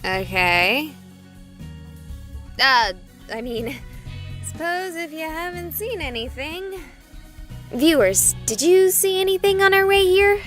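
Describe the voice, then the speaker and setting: A woman's voice: very high-pitched, lively intonation, takes it slowly, long pauses, fairly bright tone, soft background music, full character voice. An actress voicing a skeptical, exasperated young female game streamer in a visual novel. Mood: frustrated.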